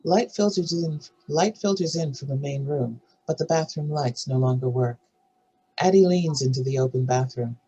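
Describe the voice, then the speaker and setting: Husky low-voiced woman narrator. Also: moderate pace, warm tone, muffled recording. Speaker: narrator reading stage directions. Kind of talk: scripted narration.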